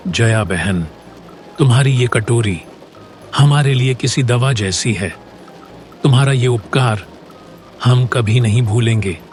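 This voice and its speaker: A man playing a gentle, hopeful poor woodcutter husband in an earnest, dramatic Hindi cartoon moral story. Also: medium pitch, takes it slowly, pauses often, close to an everyday voice. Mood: grateful. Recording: loud background music.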